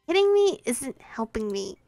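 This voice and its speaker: A woman's voice: sits high, very animated, a little slow, vocal fry, dark tone, no echo, faint music behind, exaggerated voice. A woman voicing a prim, irritable teenage girl who scolds curtly. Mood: scolding. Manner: curt, exasperated, scolding.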